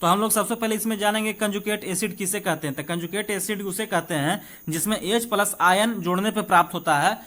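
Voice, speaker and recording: A man's voice: high voice, fairly bright tone. A man with an Indian accent teaching an exam-prep lesson informally, repeating key points and checking in with listeners. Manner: reads questions slowly and deliberately in English, then explains in Hindi. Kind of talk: exam-prep chemistry lesson, explaining questions aloud.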